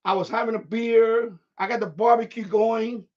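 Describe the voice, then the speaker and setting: A man with a husky voice, telling stories in a blunt, repetitive, sweary way on a casual live stream. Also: extremely high pitch, normal speed. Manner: blunt, profane, repeats himself, animated. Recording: dull, lo-fi livestream audio.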